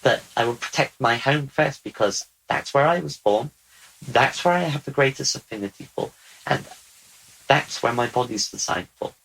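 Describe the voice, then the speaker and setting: A man speaking as a channeled otherworldly male being, slow, deliberate and repetitive. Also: medium pitch, lively intonation, creaky, fairly bright tone, husky, exaggerated voice, poor audio. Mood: earnest. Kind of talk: channeled spiritual talk.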